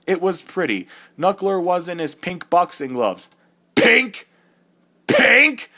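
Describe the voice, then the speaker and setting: An irritable cartoon echidna performed by a man with a high voice and a measured, slow pace. He gives a comedic dramatic reading of fan fiction, scornful and exasperated with what he has to read. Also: sharp tone, heavily acted. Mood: grudging narration, then indignant outrage. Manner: indignant, scornful, easily exasperated.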